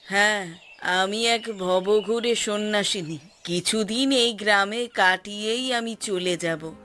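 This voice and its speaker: A woman voicing a serene, fearless wandering holy woman in a cartoon, speaking calmly and formally. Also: medium pitch, a little hiss or hum. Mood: calm, composed. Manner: calm, formal, measured. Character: serene fearless wandering female ascetic of cremation grounds. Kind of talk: cartoon voice acting.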